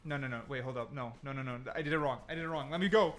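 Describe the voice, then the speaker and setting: A man's voice: mid-range voice, racing, fairly bright tone. A man giving casual, slangy gameplay commentary, thinking aloud as he goes. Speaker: gaming content creator.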